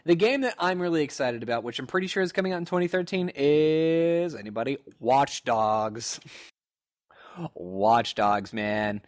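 A man's voice: medium pitch, normal speed. A man hosts a casual podcast conversation in an ordinary mid-range voice. His manner is playful and informal, like someone talking among friends. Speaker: podcast host. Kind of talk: casual chat.

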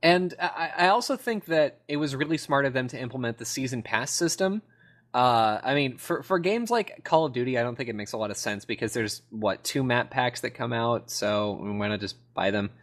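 A man's voice: mid-range voice, expressive, normal speed, fairly bright tone. A man co-hosting a podcast in casual conversation, opinionated and rambling, often repeating himself for emphasis. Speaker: podcast co-host. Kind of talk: casual gaming podcast chat.

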